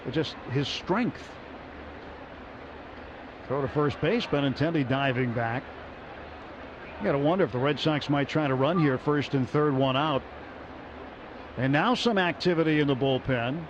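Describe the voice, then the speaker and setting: Male play-by-play caller, fairly high voice, muffled radio sound with ballpark noise behind. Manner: steady, rises sharply on big plays. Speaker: play-by-play announcer. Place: ballpark broadcast booth.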